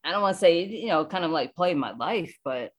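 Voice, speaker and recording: A woman speaking casually as an interview guest, reflective and halting as she talks about her work. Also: fairly low pitch, lively intonation. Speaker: voice actor guest.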